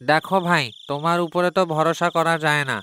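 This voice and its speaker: A man's voice: a little high, steady tone, a little fast, pushed and bright, smooth. A man voicing a sceptical, reproachful villager in a cartoon, scolding and argumentative. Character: sceptical, reproachful male villager, reluctant to help. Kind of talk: cartoon character acting.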